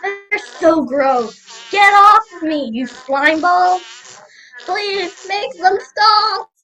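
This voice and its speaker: A snarky older sister who is easily bored and disgusted acted out by a young girl in a children's radio play. Her voice is high, bright and very animated, with drawn-out delivery. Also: faint music behind, full character voice, rough recording. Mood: panicked. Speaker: student radio play actor.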